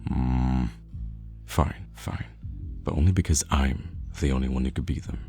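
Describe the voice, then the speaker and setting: Male actor as playful, teasing young guy friend for audio roleplay; extremely low, gravelly voice, casual animated banter. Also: a little slow, faint music behind, full character voice. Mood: mock indignant. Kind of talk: conversational ASMR roleplay acting.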